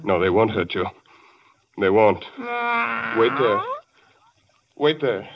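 A man's voice: on the low side, animated, slow, breathy edge, distant mic, lo-fi sound, exaggerated voice. An actor playing a frantic man guarding his cursed wife, in 1940s radio acting style. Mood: desperate. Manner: desperate, pleading, then wildly shouting.

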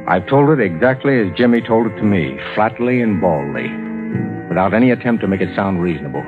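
A male actor plays a rational lawyer in a 1940s radio drama, with a lowish voice that swings widely in pitch. He speaks in a measured, earnest, skeptical way, like a careful witness. The recording is thin and tinny. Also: animated, lightly acted. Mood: grave, measured. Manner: measured, earnest storytelling, pressing in argument. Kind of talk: 1940s-50s radio drama acting and narration. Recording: tinny old radio recording, music behind.

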